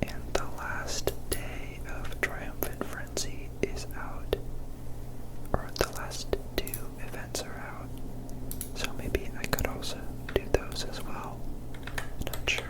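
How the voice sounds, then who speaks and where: A gaming host whispering breathy ASMR commentary over gameplay, gentle and unhurried, close to the microphone. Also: low-quality recording.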